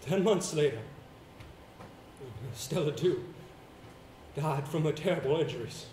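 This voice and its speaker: Man acting as earnest stage-play actor, measured theatrical delivery, dramatic pauses, roomy sound, faint noise. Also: fairly high pitch, warm tone, lightly acted. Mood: grave, haunted.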